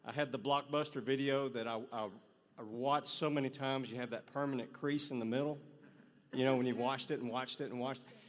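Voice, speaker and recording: Earnest man in his fifties, mid-pitched. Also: steady tone, a little fast. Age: 50s. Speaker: audience member asking a question. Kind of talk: casual, rambling fan question.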